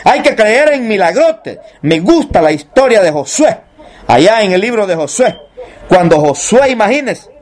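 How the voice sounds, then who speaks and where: A man delivers an evangelical sermon in a strikingly high voice. He speaks straight to his congregation, urging them on and posing rhetorical questions as he preaches. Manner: exhorting, rhetorical questions, addresses listeners directly.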